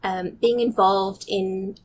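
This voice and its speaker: A woman's voice: mid-range voice, fairly even intonation, slow, a little hiss or hum. A middle-aged woman from South Africa with a blended international accent, slightly Canadian, telling nature stories eagerly and explaining with small restarts in a casual interview. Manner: enthusiastic, curious, explains carefully with small restarts. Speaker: guest, nature recorder. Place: restaurant or office in a city.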